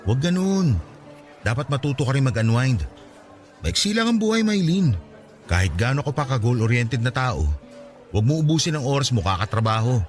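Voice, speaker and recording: A man with a Filipino accent playing a cocky but caring young best friend in a radio drama, bantering and expressive. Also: mid-range voice, fairly quick, faint music behind, close to an everyday voice.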